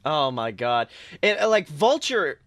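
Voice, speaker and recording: High, bright-voiced excitable man. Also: a little slow, no echo. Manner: excitable, swears freely, laughs often. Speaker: podcast co-host. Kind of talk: casual pop-culture chat.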